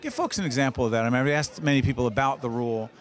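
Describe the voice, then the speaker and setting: A man's voice: mid-range voice, lively intonation, racing. A man hosting an on-location interview, asking probing, leading questions. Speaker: interviewer host. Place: outdoors at a festival event.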